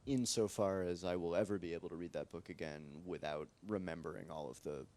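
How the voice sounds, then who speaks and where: American guy with a really low voice, chatting loosely on a panel, lots of likes and ums. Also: brisk. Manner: relaxed, rambling, many fillers and "like". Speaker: translator on a panel. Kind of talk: casual panel discussion. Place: library event space with live audience.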